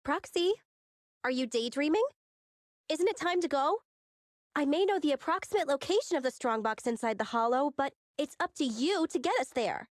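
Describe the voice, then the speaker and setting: A woman's voice: sits high, expressive, normal speed, dry recording, studio quality. A woman voicing a sly, self-assured young crew boss in an anime-style game, breezy, boastful and bossy. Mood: brisk, bossy.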